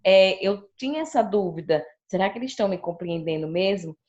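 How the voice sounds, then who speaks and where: A woman's voice: mid-range voice, brisk. Brazilian woman teacher on a video call. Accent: Brazilian Portuguese. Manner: chatty, animated retelling with quoted pupil voices. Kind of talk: sharing classroom experience on a video call. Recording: dull lo-fi video call audio.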